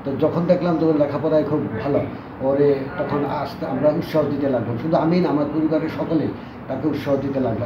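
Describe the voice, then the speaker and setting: A middle-aged Bangladeshi man recounting personal memories in an unscripted interview. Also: medium pitch. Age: middle-aged or older. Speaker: interviewee, father. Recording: echoey, dull, clear background noise.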